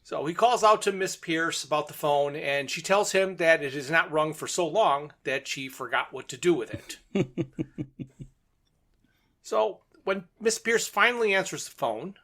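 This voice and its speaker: A man with a somewhat high, very bright voice co-hosts a podcast. He talks casually, retelling and commenting on a story as he would in conversation. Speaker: podcast co-host. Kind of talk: casual discussion and retelling of a radio drama.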